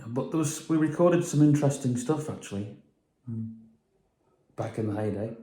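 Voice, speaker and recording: A man's voice: medium pitch, normal speed, dark tone. Rambling man in an echoey room. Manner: rambling, hesitant, many fillers. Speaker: vlogger. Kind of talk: casual chat.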